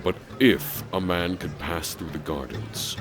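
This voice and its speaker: A tall, powerful young barbarian with a notably deep voice. He speaks in blunt, stern, deliberate phrases in a fantasy audio drama, with music underneath. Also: fairly even intonation, a crowd in the background. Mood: stern curious.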